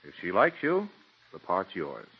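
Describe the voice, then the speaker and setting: An actor playing a courteous, slightly hesitant playwright in a 1940s radio drama. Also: male voice, low-pitched, wildly swooping pitch, measured pace, over loud music, full character voice. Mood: polite. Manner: polite and hesitant. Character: courteous theater playwright casting a play, man. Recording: dull, distant old radio broadcast.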